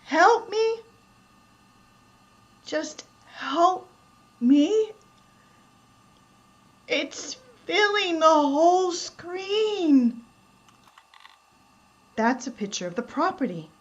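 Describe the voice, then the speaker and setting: A woman's voice: very high-pitched, big pitch swings, extremely slow, long pauses, highs cut off, full character voice. A woman playing a curious, determined researcher musing aloud in a horror radio drama. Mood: puzzled, intrigued. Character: curious, determined female researcher investigating a mystery.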